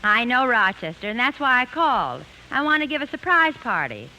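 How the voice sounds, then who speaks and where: A brisk lady friend taking charge in a vintage radio comedy. Her voice is high, bright and lively, with a slight hiss on the old recording. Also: exaggerated voice, rough recording. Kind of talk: 1950s radio comedy acting.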